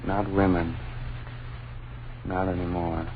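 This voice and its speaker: An actor playing an earnest, shaken husband and father, speaking in a halting, grave way in an old-time radio horror play. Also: low voice, flat delivery. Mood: grave, hushed. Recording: tinny, noisy old radio broadcast.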